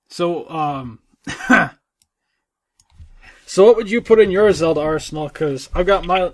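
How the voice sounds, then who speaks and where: A man with a somewhat high voice co-hosts a casual gaming podcast. He talks in a loose, informal way and swears freely. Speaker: podcast co-host.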